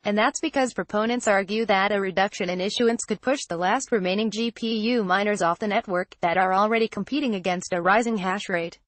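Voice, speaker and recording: A woman's voice: medium pitch, brisk, few pauses, no echo. Woman reading news, dry close sound. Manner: formal, reading aloud. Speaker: news narrator. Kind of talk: scripted narration of a news article.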